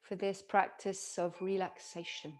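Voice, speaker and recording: A woman yoga teacher guiding a relaxation meditation in a soothing, gentle manner with long pauses. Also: medium pitch, flat delivery, a little hiss or hum.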